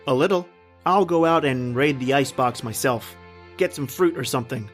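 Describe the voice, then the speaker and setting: A man acts out a haunted husband in an old-time radio horror play, telling of his doom. His delivery is brooding and dramatic, at a medium pitch, with music underneath. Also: normal speed, lightly acted. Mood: cheerful, casual. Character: tormented lost husband, haunted and despairing, recounting his doom.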